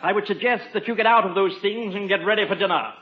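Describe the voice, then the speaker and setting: An actor playing a haughty, fastidious English butler in a radio comedy, speaking formally with dry sarcasm and an English accent. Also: male voice, high voice, natural voice. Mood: pompous, dry. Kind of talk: 1950s radio comedy acting. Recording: muffled, echoey, very noisy old radio recording.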